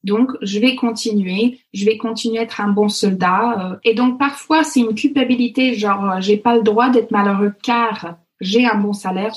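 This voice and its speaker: Halting woman. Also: on the high side, rough recording. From Canada (Alberta). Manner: halting, with many fillers and restarts. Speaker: interview guest, author. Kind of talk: casual interview talk.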